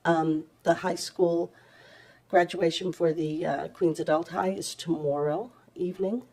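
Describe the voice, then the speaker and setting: A woman with a very low voice gives a report to a council into a table microphone in a council chamber. She talks hesitantly, full of ums and restarts. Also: steady tone, measured pace, warm tone. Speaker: municipal clerk.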